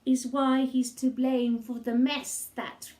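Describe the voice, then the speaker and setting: A woman's voice: high-pitched, level tone, takes it slowly, a little mellow, echoey room. A woman voicing a cheeky little boy in a storybook, earnest and wheedling as he fibs. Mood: earnest fibbing. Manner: wheedling, insistent, hesitant while inventing.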